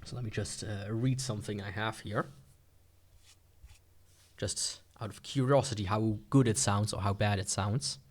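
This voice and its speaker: A man's voice: lowish voice, fairly even intonation, normal speed, long pauses. A man giving a casual, unscripted product review in a rambling, informal, skeptical way. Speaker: gadget reviewer.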